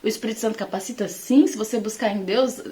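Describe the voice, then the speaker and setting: A woman's voice: fairly high pitch, quick pace, mellow. Brazilian woman giving informal Bible teaching. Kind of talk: informal Bible teaching talk to online followers.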